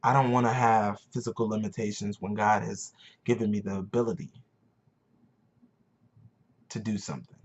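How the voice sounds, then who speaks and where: Young man in his twenties, earnest and searching, lowish voice, muffled lo-fi sound. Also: flat delivery, normal speed, long pauses. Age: 20s. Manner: earnest, searching, repeats phrases for emphasis. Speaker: vlogger. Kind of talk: motivational talk to camera.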